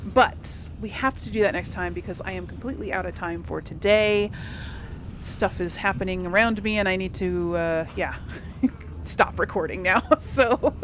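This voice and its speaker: A woman's voice: medium pitch, normal speed, fairly bright tone, a little hiss or hum. A woman giving casual let's play commentary, chatting to viewers and rambling as she thinks aloud. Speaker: gaming video host.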